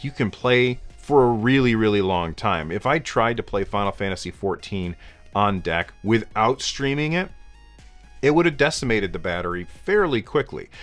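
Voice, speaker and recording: A hoarse, congested man in his forties hosts a casual gaming news show from his home game room. His voice sits a bit low, and he talks loosely with plenty of fillers. Soft music plays faintly underneath. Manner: chatty, with frequent "uh" and "um" fillers; gestures with his hands while talking. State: hoarse and stuffed up from a cold. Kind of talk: casual video commentary. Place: home game room lit with colored lights.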